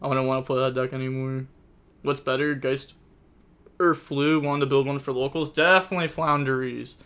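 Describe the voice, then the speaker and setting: A man's voice: mid-range voice, fairly even intonation, normal speed, fairly bright tone, clear, resonant tone. A man hosting an online card game tournament on a live stream. He calls the turns play by play and chats loosely with viewers in a rambling way, full of "like" and "I mean". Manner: chatty, rambling, frequent "like" and "I mean". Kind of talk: casual live-stream commentary and chat reading.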